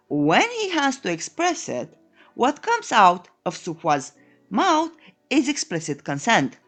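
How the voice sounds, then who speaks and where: A woman narrating a scripted comedic story recap in a playful, tongue-in-cheek, theatrical storytelling manner. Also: medium pitch. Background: background music.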